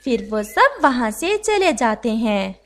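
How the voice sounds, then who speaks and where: High sing-song woman narrator. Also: big pitch swings, takes it slowly, a bit bright, slight background noise. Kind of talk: storybook narration.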